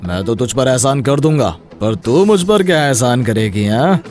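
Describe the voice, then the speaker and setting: A man voicing a leering, predatory hotel keeper in an animated story, speaking with insinuation. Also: mid-range voice, moderate pace, over music, lightly acted. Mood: lecherous.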